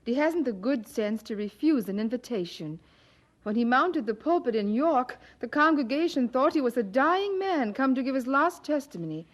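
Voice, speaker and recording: An actress playing a devoted, bossy preacher's wife of the eighteenth century in an audio drama, with expressive old-fashioned diction. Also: female voice, sits high, moderate pace. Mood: protective.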